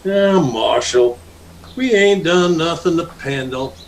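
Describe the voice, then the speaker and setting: Man playing high-voiced, slow-talking sneering cowboy bully. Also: expressive, a little hiss or hum, poor audio. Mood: insolent. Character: sneering, taunting Old West town bully. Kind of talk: vintage radio Western acting.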